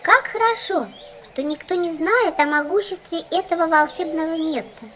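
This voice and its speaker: A woman's voice: very high-pitched, moderate pace, pushed and bright, a little hiss or hum. A woman voicing a fussy, boastful little doll girl in a children's cartoon, theatrical and condescending. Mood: haughty.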